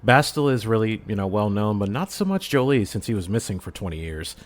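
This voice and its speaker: Man, low-pitched, casual podcast talker who slips readily into acted voices. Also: fast talker. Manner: animated, breaks into acted character voices.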